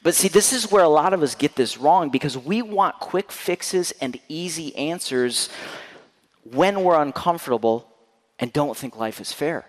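A man giving a candid sermon as guest preacher, swinging between loud emphatic bursts and quiet, intense lows. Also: expressive, measured pace. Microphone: handheld or stand mic. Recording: echoey church hall.

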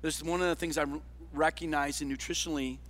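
High, bright-voiced male preacher. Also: fairly even intonation, fast talker. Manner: conversational, with rhetorical questions and tags like "all right?". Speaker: pastor. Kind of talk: sermon to a congregation.

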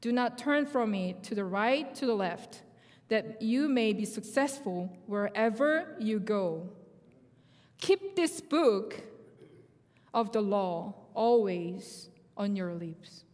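Woman preacher, English, young adult, unhurried delivery, long hall reverb. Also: mid-range voice, lively intonation, darkish tone. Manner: laughs often, slow careful reading. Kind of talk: sermon with scripture reading. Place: church sanctuary.

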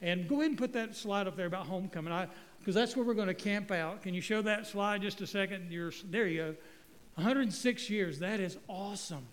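A middle-aged guest pastor from North Carolina, preaching in a folksy, joking way with stumbling restarts. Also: male voice, high voice, a little fast, in a large hall. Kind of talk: sermon. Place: church sanctuary. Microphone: handheld microphone.

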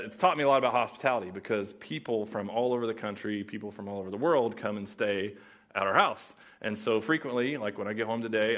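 Chatty pastor with an Oklahoma accent. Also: male voice, mid-range voice, fairly bright tone. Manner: casual, joking, chatty with audience. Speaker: guest pastor. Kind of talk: sermon.

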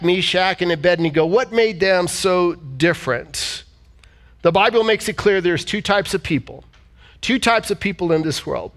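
A pastor delivering a sermon, a man whose voice sits notably high. He reads scripture aloud and pauses to have the congregation repeat key words back. The service is also streamed to viewers online. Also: a bit bright. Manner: preaching with call-and-response and scripture reading. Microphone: church service streamed online.

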